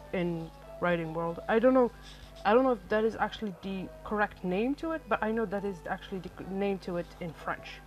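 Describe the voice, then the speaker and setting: A woman from Algeria, a native Arabic speaker, talks through writing advice in a loose, meandering podcast monologue. She corrects herself often and leans on fillers, with soft music playing faintly under her voice. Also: medium pitch, poor audio. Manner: rambling, self-correcting, lots of fillers. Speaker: podcast host. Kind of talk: solo podcast talk on writing.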